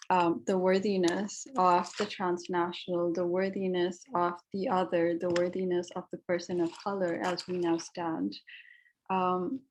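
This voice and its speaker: A woman's voice: fairly low pitch, low-quality recording. A woman giving a panel talk about her artwork, speaking in a reflective, personal way with frequent ums and uhs. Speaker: artist presenting on a panel.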